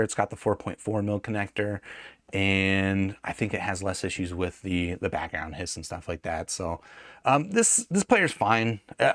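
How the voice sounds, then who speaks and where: A man's voice: deep voice, dry recording, studio quality. A man hosting an audio gear review in a casual, unscripted, conversational way, often hedging and correcting himself mid-sentence.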